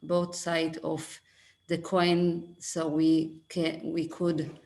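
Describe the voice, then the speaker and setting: Brief: woman from Ethiopia, low flat voice, careful halting English. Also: creaky. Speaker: nonprofit CEO. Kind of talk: panel presentation.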